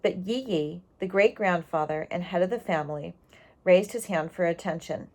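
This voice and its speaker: A woman reading a storybook aloud, expressive and explanatory, pausing on key words and adding asides for young listeners. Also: fairly low pitch.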